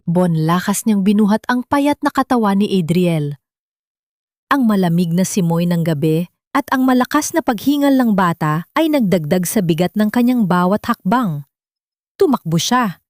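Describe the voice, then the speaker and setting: Female drama narrator, medium pitch, solemn and suspenseful, measured scripted read, dry studio-like sound. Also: a little mellow, no room sound. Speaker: story narrator.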